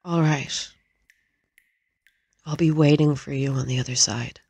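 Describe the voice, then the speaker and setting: A woman's voice: very deep voice, fairly even intonation, measured pace, creaky, a little mellow, slightly rough, no room sound, heavily acted. A woman playing an assassin's loyal, stubborn accomplice in an audio roleplay drama. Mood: worried, loyal.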